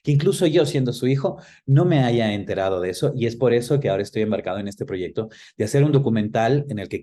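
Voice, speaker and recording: A man's voice: on the low side, dark tone. A man of about forty with an Ecuadorian accent, answering interview questions in a reflective, explanatory way and often searching for words. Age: around 40. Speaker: interview guest.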